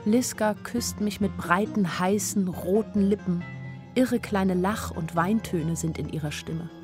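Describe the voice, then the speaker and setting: A woman's voice: mid-range voice, a little slow, natural voice. An actress playing a weary, lovesick young woman who narrates a radio play in an introspective, lyrical way. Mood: anxious, weary. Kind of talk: literary first-person radio play narration. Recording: studio radio play with faint music.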